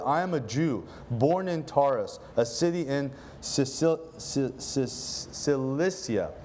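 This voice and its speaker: Easygoing church pastor guy from lebanon, mid voice, lots of ums while he preaches, faint room hum. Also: medium pitch. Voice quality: relaxed, filler-heavy, earnest delivery with frequent self-restarts. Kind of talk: casual conversational sermon with prayer. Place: church service.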